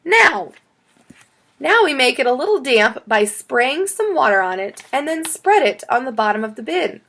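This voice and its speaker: Calm primary school teacher explaining things to pupils, acted by a female narrator, high, expressive pitch. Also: moderate pace, full character voice. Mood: instructive. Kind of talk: children's audiobook character.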